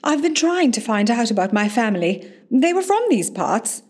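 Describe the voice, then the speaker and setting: A woman's voice: on the high side, very animated, normal speed, darkish tone, husky. A woman playing a polite, lonesome middle-aged actress in hospital, in a radio play. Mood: reserved. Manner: polite, modest. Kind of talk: amateur radio play acting.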